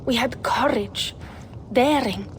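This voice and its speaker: Earnest, high-voiced young Norse heir. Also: female voice, slow pace, slight background noise. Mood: wistful pride. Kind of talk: dramatic game cutscene acting.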